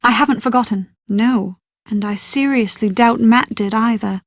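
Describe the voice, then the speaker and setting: A woman's voice: on the high side, normal speed, dry recording, clean studio sound. A woman playing an anxious, well-bred young British bride-to-be in a video game, speaking in an articulate, earnest and slightly dramatic way. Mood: composed, resolute. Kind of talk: scripted video game voice acting.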